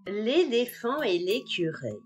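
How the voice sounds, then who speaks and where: Slow, animated high-voiced woman storyteller. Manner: expressive, deliberate storytelling for small children. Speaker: children's storyteller. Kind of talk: scripted fairy-tale narration. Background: music.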